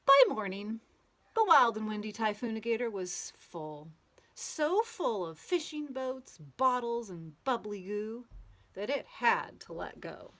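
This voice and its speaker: A woman reading a children's picture book aloud in an expressive storytelling manner, drawing out words and playing with the sound words. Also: a little high, measured pace. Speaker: storybook read-aloud reader.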